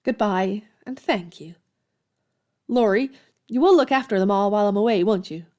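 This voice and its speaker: Actress as young governess-writer, female, mid-pitched, eager yet modest, expressive period-drama delivery. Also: normal speed, natural voice. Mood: tender. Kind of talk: audio drama acting.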